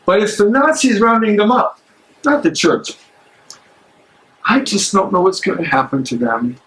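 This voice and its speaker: A man acting a troubled, earnest German theologian in a live dramatic reading, speaking haltingly. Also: sits high, expressive, fairly bright tone, rough recording. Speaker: actor in a dramatic play reading. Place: public library meeting room. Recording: live library room, faint background noise.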